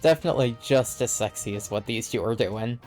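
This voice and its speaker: A man's voice: medium pitch, brisk, darkish tone, faint music behind. A man playing a game with a friend, chatting in a dry, laid-back way. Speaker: co-streamer. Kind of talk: casual gameplay chat.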